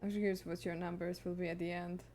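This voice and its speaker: A woman's voice: lowish voice, level tone, fast talker, a little mellow. A woman livestreamer giving casual, live commentary while she plays a video game.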